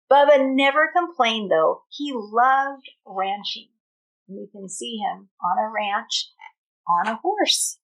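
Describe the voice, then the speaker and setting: High-pitched, animated woman reading to children. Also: low-quality recording. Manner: explanatory, pauses to question the listeners. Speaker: kindergarten teacher reading aloud. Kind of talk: storybook read-aloud for young children.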